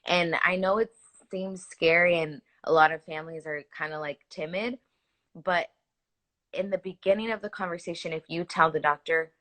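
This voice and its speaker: A young woman nurse talks earnestly and with feeling, often restarting her sentences and filling gaps with "like." Her voice sits fairly low but sounds bright and forceful. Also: moderate pace. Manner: earnest, animated, frequent "like" fillers and restarts. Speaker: hospital nurse. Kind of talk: conversational interview answers.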